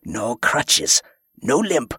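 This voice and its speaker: A man voicing a wounded, mocking male pirate prisoner who speaks slowly with a chuckle and a leer. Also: mid-range voice, sing-song, sharp tone, husky, dry recording, clean studio sound, full character voice. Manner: chuckling, slow, leering.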